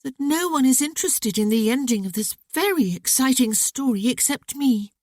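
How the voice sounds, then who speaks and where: A woman's voice: high-pitched, normal speed, no echo, studio quality, voice pushed up. A woman voicing an outspoken, sensitive young woman who talks frankly to her brother. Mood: mournful. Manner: lively, talkative, candid.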